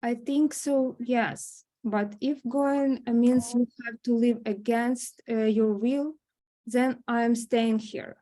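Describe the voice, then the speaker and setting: A woman at a script table read playing a gentle, loving grandmother who reassures her granddaughter. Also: fairly high pitch, steady tone, slow pace, low-quality recording.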